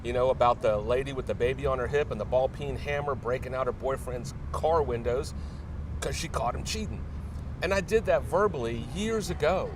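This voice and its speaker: A middle-aged man being interviewed, telling casual anecdotes in a halting, hesitant way. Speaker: interview guest, newspaper media director. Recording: distant mic, clear background rumble.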